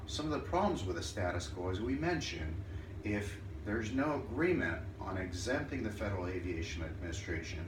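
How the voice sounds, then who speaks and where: Distant, low-voiced man giving a speech. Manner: deliberate, pausing between short phrases. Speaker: student or presenter giving a speech. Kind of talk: formal persuasive problem-solving speech. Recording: roomy, distant mic, clear background noise.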